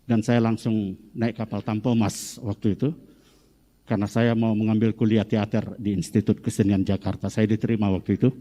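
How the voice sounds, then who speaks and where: A man in his fifties from Medan with a Medan accent, preaching a sermon slowly and emphatically and rising to loud exhortation. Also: fairly low pitch, level tone. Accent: Medan Indonesian. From Medan, North Sumatra, Indonesia. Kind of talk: sermon to a congregation. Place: church hall. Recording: long church-hall reverb.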